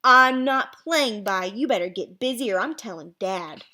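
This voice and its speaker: A woman voicing a feisty, excitable young schoolboy in a story, speaking emphatically. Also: on the high side, normal speed. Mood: defiant.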